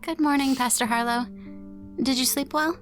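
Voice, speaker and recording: A woman voicing a kind, cheerful young female church volunteer who speaks brightly and politely. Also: high voice, fairly even intonation, normal speed, faint music behind, pitched above the performer's own voice, lightly acted. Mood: friendly.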